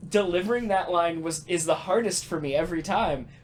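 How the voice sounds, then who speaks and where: A man chatting casually as a podcast host, telling stories in an animated, halting way with casual swearing. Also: high-pitched. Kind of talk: casual podcast chat and storytelling.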